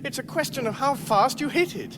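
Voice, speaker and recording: A man's voice: extremely high pitch, animated, brisk, background noise. A man playing a panicky, indignant but polite Englishman in a radio comedy, flustered and protesting, with an English accent. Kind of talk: British radio comedy acting.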